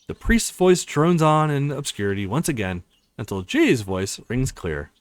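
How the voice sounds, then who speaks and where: A man's voice: medium pitch, sing-song, unhurried. A man hosting a let's play, reading a visual novel aloud expressively with casual reactions.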